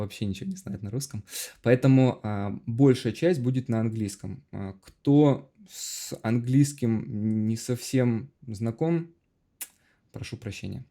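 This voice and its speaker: A man hosting an open class and speaking English with a Russian accent, halting with uh fillers. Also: mid-range voice, mellow. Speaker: course instructor and host.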